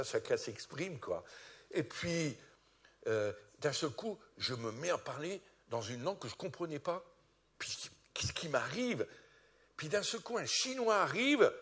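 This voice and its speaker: Pastor, male, from France, animated testimony preaching with a lively, slightly high voice. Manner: animated, rising to loud peaks. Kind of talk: testimony sermon.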